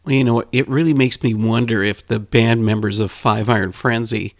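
A man's voice: medium pitch, steady tone, moderate pace, no room sound. Chatty male interviewer, dry sound. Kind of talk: casual interview questions.

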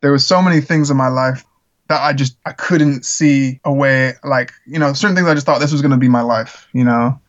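A man's voice: medium pitch, fairly even intonation, brisk, lo-fi sound. A man who grew up in the Czech Republic, a musician guest talking earnestly in a casual interview, with lots of fillers. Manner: earnest, rambling with frequent fillers.

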